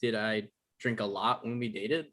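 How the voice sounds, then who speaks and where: A man's voice: fairly low pitch, bright, forceful tone. A man playing an awkward, apologetic young man who turns dryly sarcastic when cornered, in a comedy table read. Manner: hesitant, halting, dry.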